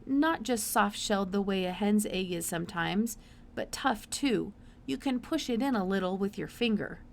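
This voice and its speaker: A woman's voice: mid-range voice, lively intonation, moderate pace, vocal fry, a little hiss or hum. Woman reading as an earnest, plain-spoken farm boy.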